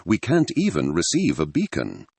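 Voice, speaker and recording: A man's voice: low voice, wildly swooping pitch, normal speed, creaky, darkish tone, slightly rough, no room sound, exaggerated voice. A man voicing a tired, practical stranded pilot in an audiobook adventure story. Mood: worried.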